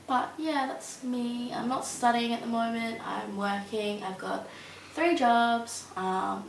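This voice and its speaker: A woman's voice: on the high side, gravelly, fairly bright tone. A young woman, answering interview questions casually and hesitantly, with many ums and likes. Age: young adult. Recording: roomy, mic at a distance, faint hiss.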